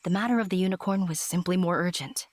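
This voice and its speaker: A woman voicing a talking cricket who narrates a fantasy audio drama, theatrically addressing the listener in a breathy voice. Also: on the low side, quick pace, no echo, lightly acted. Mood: storytelling. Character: talking cricket storyteller, observer turned anxious helper. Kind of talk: scripted fairy-tale audio drama narration.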